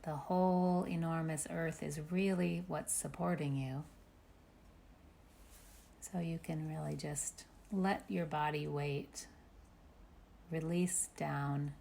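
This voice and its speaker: Slow, low-voiced woman guiding meditation. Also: steady tone, gravelly, slight background noise. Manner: gentle, unhurried instructions with long pauses. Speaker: meditation teacher. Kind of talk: guided meditation.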